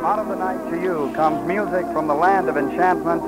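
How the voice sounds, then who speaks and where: Male American radio announcer, 1940s style, high voice over loud music. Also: fairly quick, poor audio.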